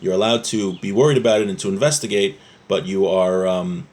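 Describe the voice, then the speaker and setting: A man's voice: deep voice, fairly even intonation, fairly quick, darkish tone. A man giving an informal religious lesson, reading from a text and explaining it plainly as a teacher. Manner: explanatory, restating points in plain words.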